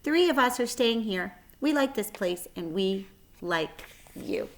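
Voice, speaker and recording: A woman's voice: mid-range voice, lively intonation, measured pace, slight background noise, pitched above the performer's own voice. A woman voicing tiny young female spiders in a storybook, with a small, light voice and a breezy, childlike manner. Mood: friendly, chirpy.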